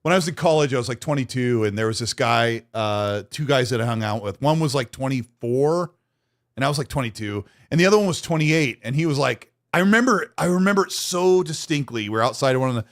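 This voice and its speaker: A man in his late forties co-hosting a podcast, self-mocking and quick to laugh in casual banter. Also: mid-range voice, lively intonation. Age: 40s. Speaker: podcast co-host.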